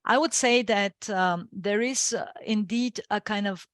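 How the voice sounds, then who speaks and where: Bulgarian-accented woman, interview guest; measured, hesitant delivery, dry close sound. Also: mid-range voice, no echo. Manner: careful, hesitant, with many restarts. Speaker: guest analyst. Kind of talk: interview answers.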